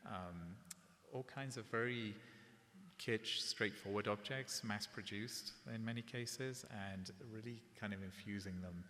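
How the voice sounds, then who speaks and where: Low-voiced curator guy chatting easy at a gallery talk, roomy hall, bit of hiss. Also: measured pace. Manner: relaxed, conversational, tag questions. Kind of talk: public gallery conversation. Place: gallery event space before an audience. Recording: echoey hall, faint hiss.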